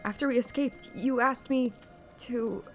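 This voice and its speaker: A woman's voice: fairly high pitch, measured pace, pitched above the performer's own voice. Woman as plucky young huntress from a game, earnest and hesitant, music underneath. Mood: flustered, pleading. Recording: loud music behind.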